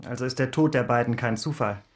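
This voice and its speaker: A man's voice: medium pitch, fast talker, natural voice. A man playing an inquisitive official in a radio crime drama, questioning in a formal way. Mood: probing. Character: brisk, probing male official questioning a doctor.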